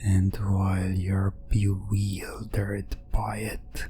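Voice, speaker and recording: Very slow, breathy hypnotic male narrator. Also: low-pitched, monotone, over music. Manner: breathy, often whispered, long pauses, repeats phrases. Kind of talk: hypnotic ASMR second-person storytelling.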